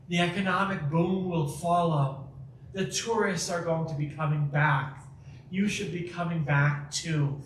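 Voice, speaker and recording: Man, public talk, quick restarts, echoey hall, off-mic. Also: a little high, recorded from a distance, a little hiss or hum. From Chicago. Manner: energetic, quick asides, frequent restarts. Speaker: guest speaker. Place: community center hall.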